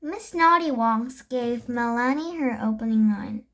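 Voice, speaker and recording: A very young-sounding reader with a high voice reads a children's chapter book aloud, stumbling over words and correcting them. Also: measured pace, fairly bright tone. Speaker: storybook reader.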